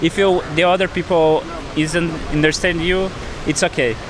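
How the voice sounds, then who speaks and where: A man's voice: a little high, steady tone, normal speed, crowd noise, low-quality recording. A man from Brazil with a Brazilian Portuguese accent, a native Portuguese speaker answering street interview questions in simple, hesitant English. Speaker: interviewee, engineering student.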